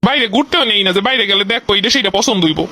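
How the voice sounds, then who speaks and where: A man's voice: very high voice, racing, sharp tone, heavily acted. A man with a Bangladeshi accent voicing a stingy, exasperated henpecked husband who grumbles defensively in a comedy cartoon. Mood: grumbling.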